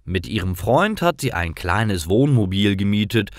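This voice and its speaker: A man's voice: lowish voice, sing-song, unhurried, no echo, studio quality. A man narrating simple scripted stories for language learners, carefully, in short sentences.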